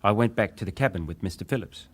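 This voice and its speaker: An actor playing a ship's wireless operator, a respectful but defensive witness giving clipped testimony in a dramatised hearing. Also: male voice, lowish voice, fast talker, slightly rough. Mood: respectful, matter-of-fact. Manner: clipped, respectful, matter-of-fact.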